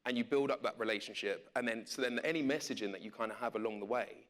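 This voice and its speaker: A man's voice: mid-range voice, fast, a bit bright. Man chatting as panel moderator. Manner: informal, conversational. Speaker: radio presenter moderating a panel. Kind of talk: casual panel chat.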